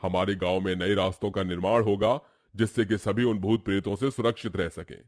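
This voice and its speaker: A man's voice: sits low, steady tone, a little fast, darkish tone, no echo, clean studio sound, lightly acted. A man playing a grave village headman speaking formally in a horror cartoon story. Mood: grave resolve. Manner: formal, solemn. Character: grave village headman announcing council's decision.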